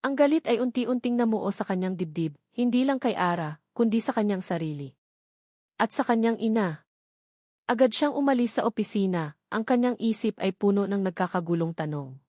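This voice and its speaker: A woman's voice: mid-range voice, lively intonation, normal speed, darkish tone, no room sound, clean studio sound. A woman reading a scripted, dramatic story as its narrator.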